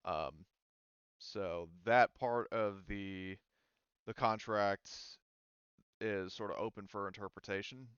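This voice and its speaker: A man's voice: lowish voice, takes it slowly, pauses often, a bit bright, clear, resonant tone, no echo, highs cut off. A man acting as game master, casually explaining things to players in an informal way during tabletop roleplaying talk.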